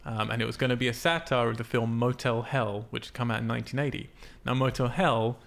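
A man's voice: medium pitch, fairly even intonation, quick pace, vocal fry, a bit bright. A man hosting a casual film podcast, explaining things in an informal, sweary way. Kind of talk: casual film discussion from notes.

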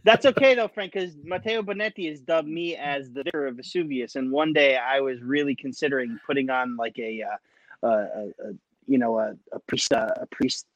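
A man in his thirties, a podcast guest, chatting in an animated way with stammering restarts. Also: medium pitch, moderate pace, no echo, dull audio. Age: 30s. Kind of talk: casual podcast chat.

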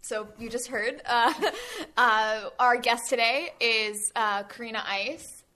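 A woman's voice: a little high, slow, pushed and bright. A woman co-hosting a podcast, asking questions casually with fillers and restarts. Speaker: podcast co-host. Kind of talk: casual chat.

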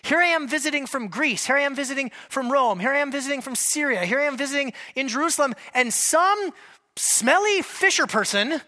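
A preacher acting out an astonished pilgrim who hears a stranger speak their own language, with incredulous exclamations and a voice lifted well above their usual pitch. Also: lively intonation, a little fast, bright, forceful tone, long reverb tail. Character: astonished pilgrim hearing a miracle.

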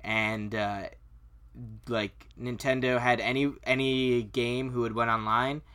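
A man's voice: medium pitch, flat delivery, slow pace, pushed and bright, smooth. A man co-hosting a podcast in casual, slangy chat with plenty of casual swearing. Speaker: podcast co-host. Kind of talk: casual chat about video games.

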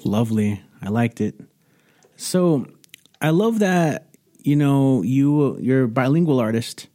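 A man's voice: medium pitch, animated, slow, warm tone. A man hosting a podcast interview, chatting casually, rambling through his questions, restarting them and slipping in jokes. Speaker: podcast host.

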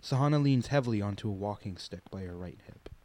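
A man's voice: lowish voice, lively intonation, fast, darkish tone, close to an everyday voice. A man playing a composed narrator, formally describing scenes in a scripted radio play.

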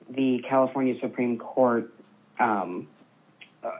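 A woman in her thirties on the phone, telling her family's story in a halting way, with many fillers and restarts. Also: rumbling low. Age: 30s. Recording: tinny phone line.

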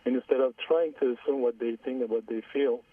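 A man in his forties with an Iranian Armenian accent, calling in to a radio show, hesitant and halting, repeating his words. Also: medium pitch, steady tone, no echo. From Iran. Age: 40s. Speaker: caller. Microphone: phone line. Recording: distant husky phone line.

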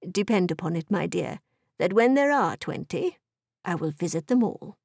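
A woman voicing a dry, sardonic middle-aged husband in a period novel audiobook, teasing in a measured, deadpan way. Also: lowish voice, creaky, breathy edge, no room sound, heavily acted.

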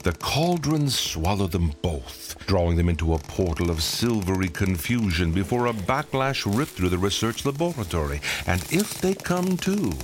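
A man's voice: sits low, very animated, moderate pace, few pauses, slight background noise. An actor playing a snarky male narrator in an audio drama, dry and sardonic. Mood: grand.

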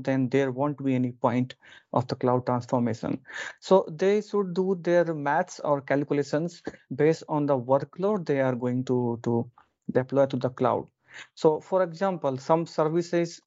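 A young adult man from India, a podcast guest, explaining point by point in a measured way with small restarts. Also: medium pitch, darkish tone, dry recording, dull audio. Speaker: podcast guest, DevOps lead. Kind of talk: conversational podcast answer.

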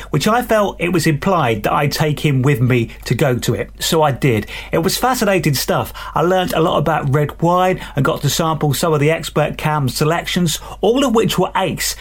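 Male local radio DJ, slightly high pitch, chatty links with dry self-deprecating humour. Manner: chatty, lightly self-deprecating, tongue-in-cheek.

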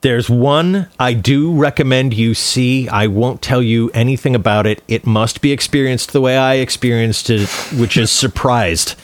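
A man co-hosting a casual film discussion, speaking in a wry, halting way. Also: medium pitch. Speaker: podcast co-host.

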